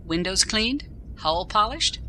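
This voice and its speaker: A woman playing a dutiful starship captain in an audio drama, with a dry, measured delivery. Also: mid-range voice, expressive, bright, forceful tone, a little hiss or hum. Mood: brisk, official. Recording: music behind the voice.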